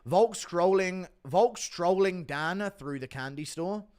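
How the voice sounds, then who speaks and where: A man's voice: high-pitched, slow pace, darkish tone, clear, resonant tone. A man hosting a casual livestream, reading donations and answering chat in a blunt, profane, offhand way. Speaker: livestream host.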